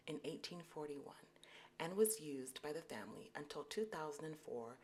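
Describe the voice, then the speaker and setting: Low whispery woman narrating. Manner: soft, whispery delivery; deliberately low, put-on serious voice. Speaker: royal history YouTuber. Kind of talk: scripted history narration.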